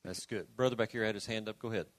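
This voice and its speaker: A man's voice: on the low side, steady tone, racing. A man moderating a panel, informally passing questions between audience members and speakers.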